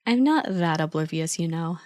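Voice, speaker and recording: A woman's voice: lowish voice, lively intonation, normal speed, darkish tone, dry recording, natural voice. Woman playing an affectionate, playful girlfriend, close dry sound. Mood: fond, mildly defensive. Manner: teasing, supportive, chatty. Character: affectionate, playful girlfriend, clumsy but eager at baking. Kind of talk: ASMR roleplay.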